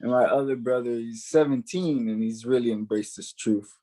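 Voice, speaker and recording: A man of about twenty-two, from Haiti and the United States, shares a personal testimony. He sounds earnest and stresses key words by repeating them, with an average-pitched voice. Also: moderate pace, warm tone. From born in Haiti, raised in the United States. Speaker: guest giving testimony.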